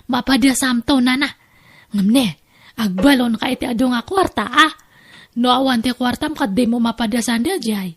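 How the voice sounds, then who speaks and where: A woman's voice: high voice, a bit bright, full character voice. A woman acting as a willful, money-minded pleasure seeker with an Ilocano accent, pushy and emphatic in an audio drama.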